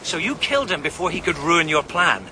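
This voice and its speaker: A sharp, steady detective on a radio play, his voice pitched fairly high and set against a clear hiss of noise. He builds his case slowly and coolly, every line a calm trap. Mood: cold, accusing. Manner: measured, cutting, triumphant. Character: shrewd senior police detective unmasking a killer. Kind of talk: 1980s-90s radio drama acting.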